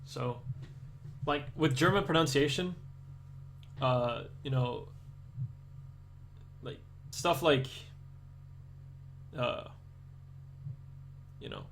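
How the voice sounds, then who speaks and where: A man's voice: mid-range voice, extremely slow, pauses often, a bit bright, a little hiss or hum. Rambling Danish streamer man. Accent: Danish, aiming for American English. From Denmark. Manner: rambling, many restarts and fillers. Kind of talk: casual chat with live viewers.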